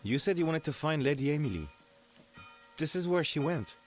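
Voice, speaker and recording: A man's voice: on the high side, normal speed, soft background music, natural voice. A man playing a stern, pious young medieval archer in an audiobook drama, speaking formally and without emotion. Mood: grim, blunt. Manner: solemn, flat, formal. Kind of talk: audiobook character acting.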